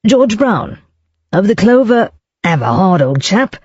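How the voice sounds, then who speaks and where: A reader voicing a smarmy Cockney salesman in his thirties, nervous and wheedling, pushing the pitch up. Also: sing-song, unhurried, breathy edge, no room sound. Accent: Cockney overlaid with refinement. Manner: wheedling, panicky, raised pitch. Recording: dull lo-fi, dry.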